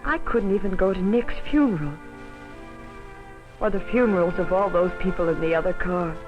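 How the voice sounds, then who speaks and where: Man as despairing young crash survivor, high voice, mournful melodrama, dull old film sound, music. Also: normal speed, close to an everyday voice. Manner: bitter, mournful. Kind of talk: melodramatic educational film acting.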